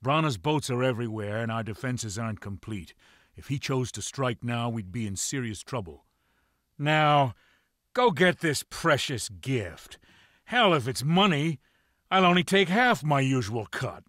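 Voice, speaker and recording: An actor playing a stern, fierce lord of a pirate clan in a video game, speaking with declamatory authority. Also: male voice, mid-range voice, expressive, unhurried, fairly bright tone, no room sound, clean studio sound. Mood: commanding, cautioning. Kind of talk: video game cutscene acting.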